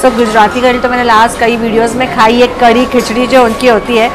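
A woman's voice: on the high side, flat delivery, fairly quick. A woman in her thirties giving a casual food review vlog on a lapel mic in a restaurant dining hall, chatting and mixing in English phrases. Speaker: food vlogger. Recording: restaurant chatter and music behind.

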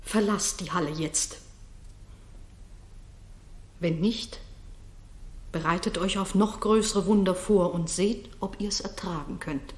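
A woman's voice: on the low side, takes it slowly, long pauses. An actress playing a commanding, mysterious noblewoman in a 1960s German radio drama, with solemn declamatory delivery. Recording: roomy 1960s radio recording, music behind.

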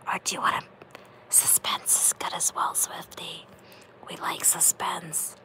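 Slow whispering woman reading live chat. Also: on the low side, flat delivery. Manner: soft whisper, affectionate. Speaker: livestream host. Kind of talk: ASMR livestream, reading chat comments.

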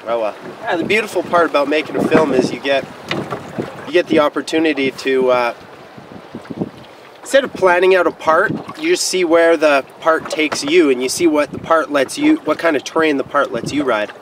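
A young man chats offhand and deadpan while sitting in a small boat out on a frozen lake. His voice sits a little high and comes from a camera set back from him. Heavy wind and motor noise roar over everything. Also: moderate pace. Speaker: snowboarder. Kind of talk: casual rambling vlog to camera. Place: small open boat on a lake outdoors in winter. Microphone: wide-angle camera mounted on the boat. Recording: distant mic with loud wind and boat noise.

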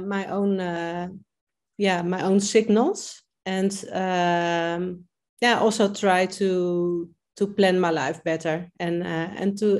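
A woman's voice: medium pitch, a little mellow. Dutch woman talking on a video call. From Netherlands. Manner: hesitant, many fillers and restarts. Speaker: coaching client. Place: home kitchen.

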